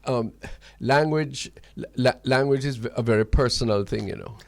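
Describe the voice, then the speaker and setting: Gravelly Jamaican man hosting a radio debate. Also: medium pitch, moderate pace, a little mellow, no room sound. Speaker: radio host. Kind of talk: spirited conversational debate.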